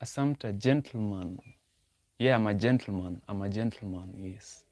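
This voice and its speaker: Low-voiced man chatting on a livestream. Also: normal speed, darkish tone, dry recording. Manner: repetitive, thinking aloud, talks to chat. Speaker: livestream host. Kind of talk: casual livestream talk, reading chat.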